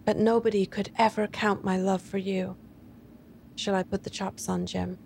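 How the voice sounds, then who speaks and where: A woman's voice: fairly high pitch, unhurried, slight background noise, pitched above the performer's own voice, lightly acted. A woman voicing an anxious, loving young wife who speaks in an eager, pleading way.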